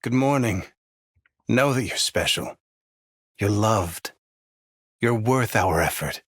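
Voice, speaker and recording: Sinister, coaxing male doctor for horror game; husky voice, dry sound, eerie reassurance. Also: fairly low pitch, lively intonation, slow pace, fairly bright tone, no echo, exaggerated voice. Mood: coaxing, reassuring. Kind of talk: scripted game voice lines.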